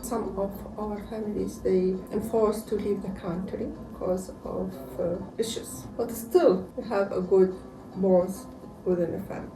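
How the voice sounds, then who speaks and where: A woman from Iraq with an Iraqi accent, answering interview questions in halting, non-native English phrasing. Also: mid-range voice, steady tone. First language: Arabic. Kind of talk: interview answers about home country. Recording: roomy, distant mic, music underneath.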